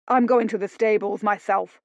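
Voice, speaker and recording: A woman's voice: mid-range voice, fairly even intonation, moderate pace, no echo, lightly acted. A woman voicing an angry, commanding nobleman for an audiobook, raging and barking orders. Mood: furious. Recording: dull lo-fi audio.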